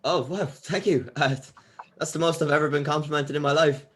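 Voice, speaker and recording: A man's voice: on the high side, steady tone, fairly quick, a bit bright, close to an everyday voice. A man playing an awkward, grateful musician at an audition, speaking hesitantly.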